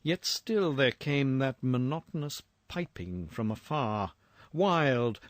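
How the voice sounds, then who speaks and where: A man narrating a literary horror story in a formal, theatrical and deliberate manner. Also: medium pitch, no room sound.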